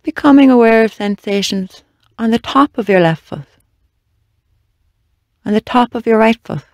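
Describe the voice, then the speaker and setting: A meditation guide leading a body scan in a slow, measured, gently instructing way with long pauses. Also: mid-range voice, expressive, no room sound, studio quality.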